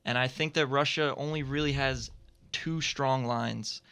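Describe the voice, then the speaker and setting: A man's voice: mid-range voice, steady tone, a little slow, sharp tone, smooth. Opinionated man on sports talk radio. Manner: opinionated, talks in firm predictions. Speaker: sports talk show panelist. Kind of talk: roundtable sports commentary. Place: radio studio.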